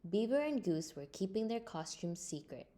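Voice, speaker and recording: A woman reading a scripted children's storybook aloud in an expressive way. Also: medium pitch, moderate pace. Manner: expressive, acts out character lines. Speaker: storybook reader.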